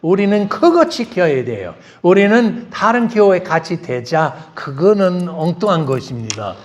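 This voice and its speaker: A middle-aged male pastor preaching a bilingual sermon in a church, loud and emphatic, firing off rhetorical questions and shifting between Korean and English. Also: high-pitched, big pitch swings, rapid-fire, dull audio. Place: church sanctuary.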